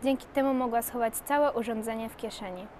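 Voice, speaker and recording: A female narrator reads a scripted story in a fairly high voice. There is some background noise and ambience behind her. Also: fairly quick, a little mellow.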